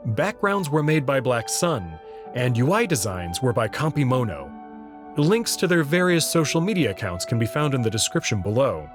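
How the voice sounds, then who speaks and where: Man with a lively, measured presenting voice. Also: mid-range voice. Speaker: host of a visual novel playthrough series. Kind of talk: scripted narration, channel introduction.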